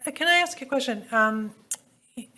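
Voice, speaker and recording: A woman's voice: high voice, animated, moderate pace, bright, forceful tone. Woman questioning a hearing witness. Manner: probing, summarizing. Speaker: hearing panelist asking questions.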